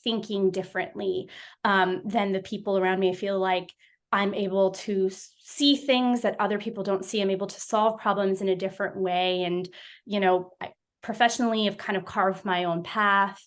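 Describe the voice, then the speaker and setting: A woman's voice: medium pitch, steady tone, moderate pace. A young woman, an occupational therapy practitioner, talking as a guest in a casual interview, reflective and full of fillers and restarts. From United States.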